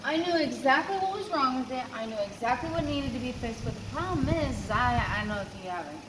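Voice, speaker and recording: A woman chatting casually and telling stories in an animated, slangy, sometimes profane way. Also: sits high, fast talker. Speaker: former car owner being interviewed. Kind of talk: casual chat, storytelling. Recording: noisy recording with vehicle ambience. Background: vehicle and ambient noise.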